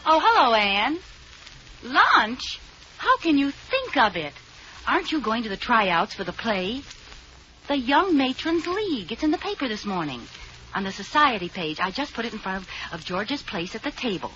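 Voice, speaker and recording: A woman's voice: fairly high pitch, very animated, moderate pace, bright, forceful tone. An actress playing a playful, scheming young wife in a 1940s radio sitcom, coaxing her husband. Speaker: lead actress. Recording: muffled old radio broadcast with hiss.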